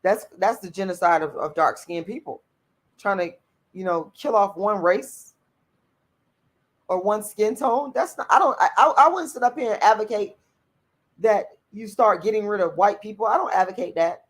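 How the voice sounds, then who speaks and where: A woman's voice: mid-range voice, moderate pace. A woman hosts a livestream, reading viewer comments and answering them bluntly and profanely, repeating herself often. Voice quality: raspy voice. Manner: outspoken.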